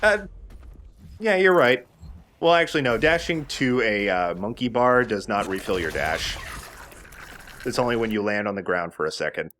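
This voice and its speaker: A man's voice: on the low side, very animated, moderate pace, pauses often, a bit bright, slight background noise. A man giving casual, sweary and jokey commentary as he plays a video game. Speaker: let's play host, player. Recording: game music behind the voice. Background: video game music.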